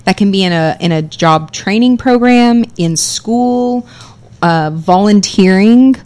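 A woman's voice: mid-range voice, takes it slowly, darkish tone. A chatty woman with a strong theater voice, a policy advocate explaining things as a guest on a radio talk show, with plenty of ums and you-knows. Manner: chatty, fluent, explanatory with many fillers.

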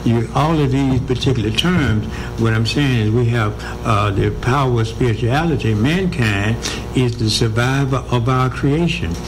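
A man speaking as a guest on a radio interview, halting and repeating himself, with many fillers. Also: mid-range voice, music in the background, poor audio.

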